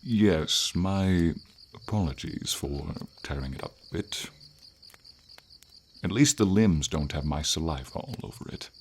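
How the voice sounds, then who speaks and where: Man voicing a deep, gentle dragon. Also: expressive, slight background noise, full character voice. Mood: earnest, gently defensive. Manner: unhurried, thoughtful, slightly formal speech with pauses. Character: friendly noble silver dragon, gentle and encouraging companion. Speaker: voice actor. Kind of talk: ASMR fantasy roleplay, slow intimate storytelling. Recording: ambient nature sounds, crickets, light rain.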